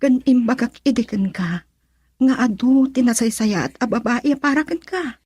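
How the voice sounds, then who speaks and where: Babaeng gumaganap na balisang asawa, paos ang boses. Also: female voice, fairly high pitch, expressive, moderate pace, darkish tone, husky, dry recording. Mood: remorseful, hurt. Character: troubled, remorseful young wife in love triangle. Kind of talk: Ilocano radio drama acting.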